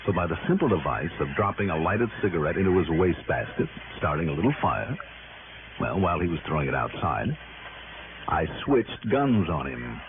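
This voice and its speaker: Wry, tough low-voiced radio private eye. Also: male voice, big pitch swings, normal speed. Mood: earnest, confiding. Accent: American. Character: tough, self-assured male private investigator defending himself. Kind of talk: 1960s radio drama acting. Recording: noisy, tinny old radio broadcast recording.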